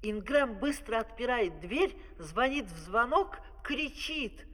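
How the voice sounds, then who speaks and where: Guy narrating a kids opera, high lively voice, slow, reverby old record. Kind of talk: scripted storytelling narration of stage action. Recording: reverberant vintage vinyl record.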